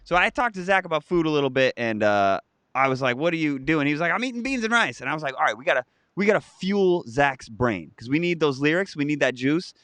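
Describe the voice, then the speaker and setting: A man's voice: on the high side, fast, dry recording. A man in his thirties co-hosting a live stream, chatting casually and rambling through stories and viewer comments. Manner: animated, chatty, rambling with restarts.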